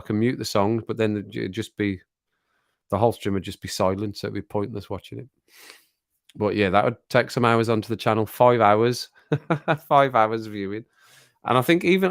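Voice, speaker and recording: A man hosting a casual live stream chat, rambling and laughing often, his voice a little croaky after a cold. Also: on the low side, no echo, studio quality.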